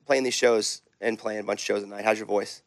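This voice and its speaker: A man hosting a podcast in a chatty, rambling interview style, raising his pitch when he drives a point home. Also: fairly even intonation, a little fast, dry recording. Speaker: podcast host. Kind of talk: casual interview chat.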